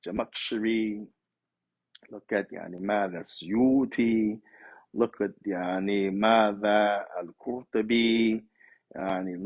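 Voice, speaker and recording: A man's voice: lowish voice, slightly rough, highs cut off. A man in his fifties from the US East Coast, giving a conversational sermon with Arabic phrases mixed in. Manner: conversational, street-inflected preaching with Arabic phrases.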